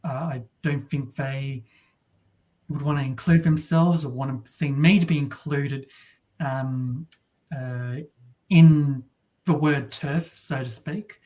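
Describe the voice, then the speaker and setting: A woman from Australia with a deep voice, talking as an interview guest in a wry, halting way with many restarts. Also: steady tone, warm tone. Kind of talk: casual interview talk.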